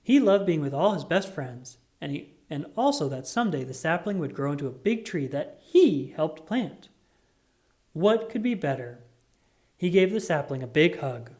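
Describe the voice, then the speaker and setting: A reader giving an expressive, scripted read-aloud of a children's picture book. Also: medium pitch, moderate pace. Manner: animated, expressive reading.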